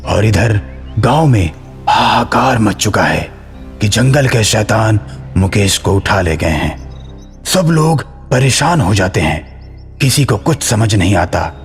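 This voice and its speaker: Slow, low-voiced male story narrator. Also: very animated, long reverb tail, faint music behind. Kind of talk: scripted horror story narration.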